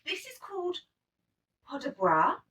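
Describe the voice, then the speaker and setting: A woman's voice: high-pitched, huge pitch swings, unhurried, sharp tone, distant mic, a little hiss or hum, pitched above the performer's own voice, heavily acted. A woman reading a storybook part as a kind female ballet teacher, explaining steps in an instructive, emphatic way.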